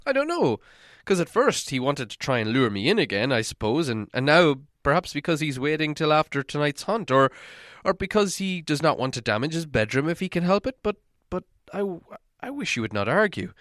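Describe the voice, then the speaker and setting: A man voicing a fretful, higher-pitched little hobbit. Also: very animated, normal speed, fairly bright tone, no echo, clean studio sound. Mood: flustered, urgent. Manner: fretful, stammering, hesitant. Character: anxious gloomy hobbit, small adult male, fretful. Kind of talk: storybook character voice in audiobook reading.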